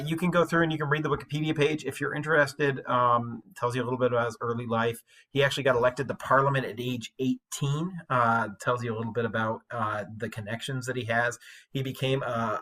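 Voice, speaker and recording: A man giving an informal English lecture, explaining things in a conversational, colloquial way like a high school teacher. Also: fairly even intonation, fairly quick, sharp tone.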